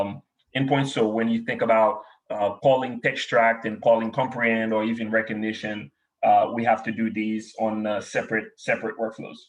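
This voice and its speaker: A man with a Haitian accent, native in French and Creole, giving a technical webinar talk and answering questions, with many filler pauses. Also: on the low side, rough recording. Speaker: webinar speaker. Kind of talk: technical presentation and Q&A.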